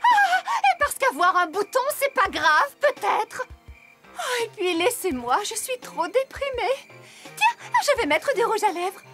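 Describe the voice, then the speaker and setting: A woman's voice: very high-pitched, sing-song, unhurried, over loud music, full character voice. A woman voicing a vain, lazy female pig in a children's cartoon, with an affected, haughty, melodramatic manner. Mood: sulky. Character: vain, lazy, self-adoring female pig with star dreams. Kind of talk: animated children's cartoon dialogue.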